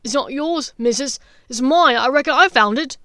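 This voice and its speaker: A woman's voice: high voice, moderate pace, sharp tone, no room sound, clean studio sound, pitched above the performer's own voice. A woman voicing a blunt, uncouth, stubbornly possessive male snatcher in an audiobook. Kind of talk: dramatic audiobook character voice.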